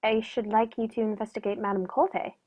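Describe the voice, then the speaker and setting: A composed gentleman detective from a radio play, acted by a woman. The voice sits at a middle pitch and speaks with precise, dryly polite, measured care. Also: flat delivery, natural voice.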